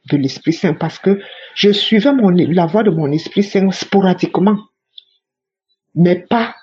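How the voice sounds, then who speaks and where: Woman, church testimony, husky and fervent, repeats herself for emphasis, muffled lo-fi sound. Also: on the low side, darkish tone. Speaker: church member giving testimony. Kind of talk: spoken religious testimony. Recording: dull lo-fi recording.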